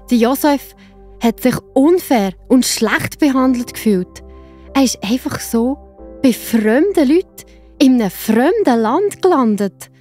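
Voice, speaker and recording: A woman with a Swiss German accent narrating a story for children in a simple, expressive way. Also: fairly high pitch.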